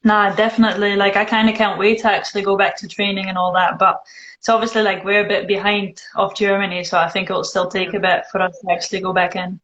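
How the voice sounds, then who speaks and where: A Dutch woman with a Scottish-tinged English accent, hosting a live video chat in a relaxed, dry, teasing way. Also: mid-range voice, fast talker. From Netherlands. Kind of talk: casual chat. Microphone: phone. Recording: echoey room, muffled phone audio.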